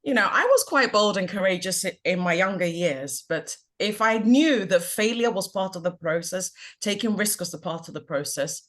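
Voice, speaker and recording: A woman's voice: mid-range voice, animated, normal speed, few pauses, a bit bright. A woman from Ghana, a confidence coach, speaking earnestly and reflectively as a guest on a video call. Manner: reflective, earnest and upbeat, laughs at herself. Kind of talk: guest on an online panel chat.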